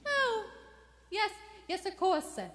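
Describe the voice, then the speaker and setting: An echoing theater hall carries a worried working-class landlady in a radio play. Her voice is extremely high and swoops up and down as she fusses, frets and eagerly tries to please. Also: big pitch swings, slow, a bit bright, exaggerated voice. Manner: fretful, deferential, flustered. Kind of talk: live radio play acting. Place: live stage in a theater. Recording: echoey theater stage recording.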